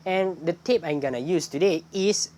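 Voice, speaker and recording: A woman hosting a casual explainer in non-native English, talking in an animated way with rhetorical questions. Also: lowish voice, moderate pace. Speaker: video host.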